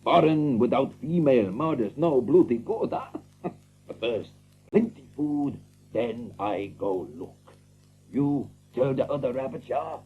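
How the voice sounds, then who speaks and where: A man playing an excitable, blunt, big-hearted seagull who talks in broken English with drawn-out exclamations, in an audiobook drama. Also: medium pitch, narrow, tinny audio, a little hiss or hum. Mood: bargaining. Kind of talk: audiobook drama character acting.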